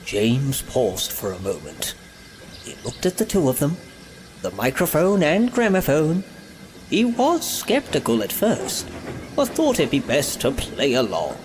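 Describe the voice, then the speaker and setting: A man's voice: mid-range voice, huge pitch swings, measured pace, slight background noise. A man narrating a scripted children's-style story as a storyteller. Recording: loud music behind the voice.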